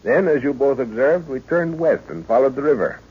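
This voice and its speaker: A man playing a middle-aged professor and father leading an expedition, measured and authoritative, in old-time radio drama style. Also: mid-range voice, animated, close to an everyday voice. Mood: explaining. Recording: muffled, noisy old radio recording.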